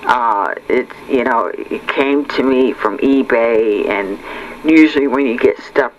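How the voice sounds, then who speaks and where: A man talking casually and unscripted, halting and rambling, often repeating his words, as a hobbyist narrating his home video. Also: medium pitch, lo-fi sound. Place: indoors at home. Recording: clear background noise.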